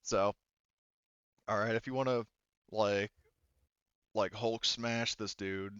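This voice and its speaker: A man acting as game master for a casual tabletop roleplaying game, halting and thinking aloud as he explains rulings. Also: lowish voice, steady tone, fairly bright tone, clean tone, no echo, dull audio.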